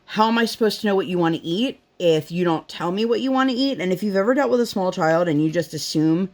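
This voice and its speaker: A rambling, chatty woman with a slightly low voice tells family stories while she crafts at home. She fills her talk with fillers and slips into the voices of the people she quotes. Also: animated, quick pace, darkish tone. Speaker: vlogger, mother. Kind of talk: casual storytelling chat while crafting.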